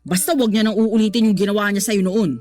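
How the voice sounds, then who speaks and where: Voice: medium pitch, steady tone, quick pace, a little mellow, close to an everyday voice. An actor playing a teasing, protective grown child of a single father in a Tagalog radio drama, playful and coaxing. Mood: accepting. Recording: music bed under the voice.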